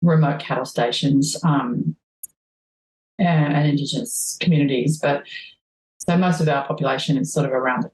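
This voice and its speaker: Deep-voiced woman with a broad Australian accent. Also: normal speed, a little mellow, reverberant, low-quality recording. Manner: rambling storyteller, laughs easily, many ums. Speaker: guest musician. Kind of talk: casual conversation.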